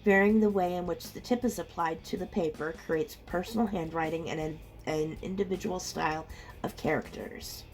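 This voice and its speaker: Woman w a lowish, kinda rough sick voice chatting thru an unboxing, music playing behind her. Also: normal speed. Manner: chatty, reacts aloud with small exclamations. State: rough voice from being ill. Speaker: host of an art channel. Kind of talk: casual unboxing commentary.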